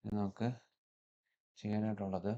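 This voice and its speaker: A Malayali man presents a hands-on tutorial in a fairly low voice. His intonation stays very flat. He sounds matter-of-fact and unscripted as he moves from one step to the next. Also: normal speed, gravelly, muffled recording. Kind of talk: hands-on technical walkthrough, unscripted.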